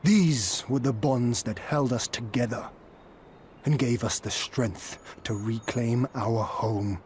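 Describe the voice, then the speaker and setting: An actor playing a solemn, earnest leader of a liberated homeland in a video game cutscene. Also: male voice, mid-range voice, slow, darkish tone, slight background noise, lightly acted.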